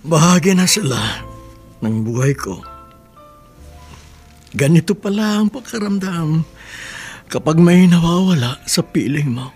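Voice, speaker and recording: High-pitched Filipino father, slow heartfelt delivery, gentle and sorrowful, radio drama. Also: lively intonation, husky, faint music behind, exaggerated voice. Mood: wistful, sorrowful. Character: sorrowful devoted father, gentle, long-suffering.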